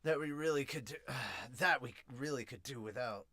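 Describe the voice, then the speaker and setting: A brooding young male ballplayer read by a manga dub podcaster in a low, subdued voice. He sounds regretful and hard on himself, in a close, dry recording. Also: moderate pace, sharp tone, no echo. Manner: low, subdued, self-reproachful.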